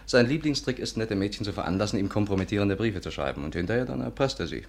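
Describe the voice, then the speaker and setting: Suave sleuth, deep voice, quick delivery, coaxing and insistent, 1950s radio play. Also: male voice, expressive, creaky. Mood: confiding. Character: smooth, persuasive male amateur sleuth coaxing his sweetheart. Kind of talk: 1950s German radio drama acting. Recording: 1950s radio recording, music behind.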